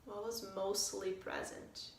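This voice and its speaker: Woman, meditation teacher, very slow pace, calm and gentle, roomy distant recording. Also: mid-range voice. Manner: calm, gentle, unhurried phrasing with long pauses. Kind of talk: guided meditation, soft instruction. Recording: roomy, distant mic, faint noise.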